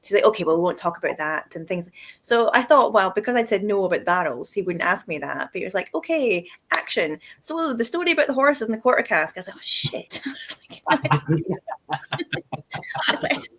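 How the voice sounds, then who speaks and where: A woman hosting a relaxed chat, telling lively stories and laughing often. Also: mid-range voice, normal speed. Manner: chatty storyteller, laughs often. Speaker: whisky shop host. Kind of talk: casual chat.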